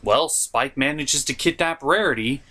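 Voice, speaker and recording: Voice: mid-range voice, moderate pace, bright, forceful tone. A host of a puppet review show narrating a scripted comic episode recap in a theatrical, mock-dramatic manner.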